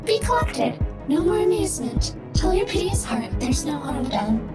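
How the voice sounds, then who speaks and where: A woman's voice: high voice, very animated, moderate pace, over loud music. A woman voicing a poised machine intelligence that recites old verse in a theatrical, declamatory way.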